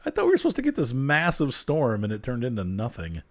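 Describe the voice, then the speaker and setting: A man's voice: mid-range voice, wildly swooping pitch, fast talker. A man who sounds a little congested and nasal, chatting casually at home into a desk mic while he plays a train game, muttering to himself as he works. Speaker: streamer playing a train game. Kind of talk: casual gameplay chat. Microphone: desk microphone turned up.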